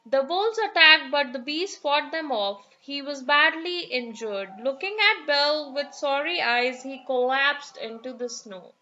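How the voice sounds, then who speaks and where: A woman narrating a children's fairy tale as an expressive, dramatic storyteller. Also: high voice, unhurried, hardly any pauses, lo-fi sound, slight background noise.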